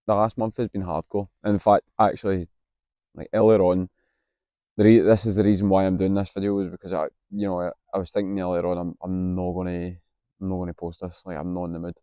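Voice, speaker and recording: Scottish guy late 20s vlogging outside, husky and low, kinda muffled sound, lots of ums. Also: level tone, a little fast, no room sound. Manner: hesitant, lots of ums, candid and reflective. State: husky from being unwell. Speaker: vlogger, university student. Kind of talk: casual vlog to camera. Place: outdoors on a campus.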